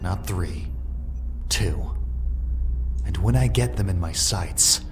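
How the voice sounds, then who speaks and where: A man's voice: low-pitched, takes it slowly, music in the background. A man voicing a bitter, rebellious young antihero in a comic dub, sardonic and accusatory. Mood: bitter, defiant.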